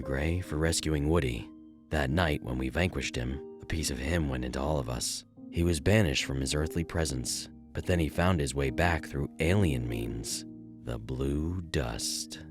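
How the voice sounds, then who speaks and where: Loyal male detective, acted by a man, extremely low voice, earnest and confiding, audio drama over clear music bed.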